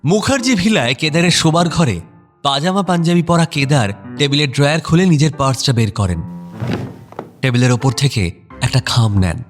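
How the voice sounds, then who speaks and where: A man's voice: a little high, animated, normal speed. A man narrating an audio drama from a script, describing scenes and actions. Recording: clear music bed behind voice.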